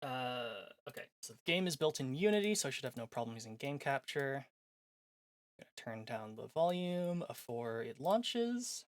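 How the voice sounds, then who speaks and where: A man's voice: medium pitch, big pitch swings, normal speed. A man chatting casually as a livestream host, sarcastic and self-deprecating, with some swearing. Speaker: live streamer hosting visual novel previews. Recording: voice over background music.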